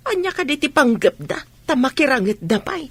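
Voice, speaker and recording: A woman playing a gentle, anxious mother with a questioning manner in an Ilocano radio drama. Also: sits high, sing-song, normal speed, slightly rough, no echo, heavily acted.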